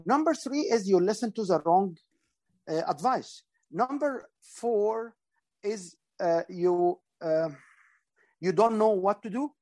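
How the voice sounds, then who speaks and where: A man's voice: sits high, lively intonation, takes it slowly, a little mellow, no room sound. A middle-aged man, explaining public speaking in an interview, earnest and instructive, with frequent fillers and restarts. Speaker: guest speaker. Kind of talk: interview answers.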